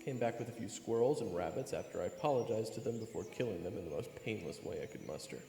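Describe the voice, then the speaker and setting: Man acting a wry young adventurer, gravelly, reverberant. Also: on the low side. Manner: candid, conversational. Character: wry young male adventurer narrating his own tale. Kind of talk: first-person story narration. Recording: reverberant, music behind.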